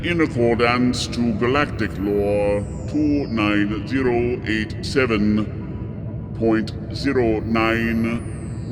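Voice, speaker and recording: An actor playing a solemn, officious alien official in a sci-fi audio drama, speaking formally and pompously. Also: male voice, medium pitch, natural voice. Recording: long reverberant hall effect, music behind.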